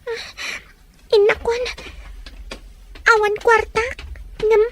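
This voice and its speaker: Radio drama young girl played by a woman: very high, dry close sound, plaintive, obedient, quietly determined. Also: flat delivery, slow, dry recording, exaggerated voice. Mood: resolute. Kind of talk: radio drama acting in Ilocano.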